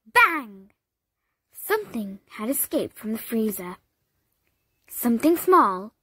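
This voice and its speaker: A woman reading a children's picture book aloud, slow and expressive, leaping up high for the dramatic moments. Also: slightly rough, dry recording. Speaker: storybook reader. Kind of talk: children's read-aloud narration.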